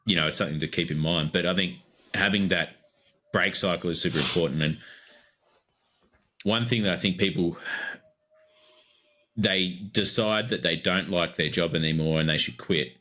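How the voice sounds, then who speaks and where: Low, bright-voiced Australian man. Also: moderate pace, pauses often. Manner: easygoing, rambling, lots of fillers. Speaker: podcast co-host. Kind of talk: casual podcast chat.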